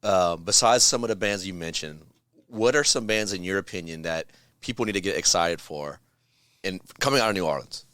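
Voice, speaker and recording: A man with a fairly low voice hosts a relaxed podcast chat. He asks loose, informal questions and interjects brief reactions, recorded close and dry. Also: fairly quick, dry recording. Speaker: podcast host.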